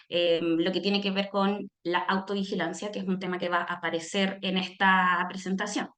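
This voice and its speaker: A woman with a Chilean accent giving a personal talk in a reflective, explanatory way, often pausing on filler sounds as she thinks. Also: mid-range voice, fairly bright tone, echoey room. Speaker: speaker, social worker giving a talk. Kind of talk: personal testimony in a presentation.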